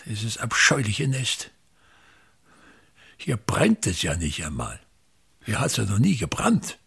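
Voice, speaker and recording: An actor playing a vain, embittered older theatre actor and playwright in a radio play, domineering and declamatory, with an Austrian accent. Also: male voice, lowish voice, huge pitch swings, slow pace, vocal fry, fairly bright tone, husky, full character voice. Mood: scornful. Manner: theatrical, declamatory, haranguing, repeats words.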